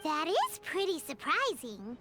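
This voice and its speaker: Tiny childlike fairy, extremely high squeaky voice, chirpy, animated, anime game, loud music underneath. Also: female voice, a little slow, heavily acted. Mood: surprised. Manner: speaks of self in third person, chirpy. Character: tiny excitable childlike floating fairy companion, female.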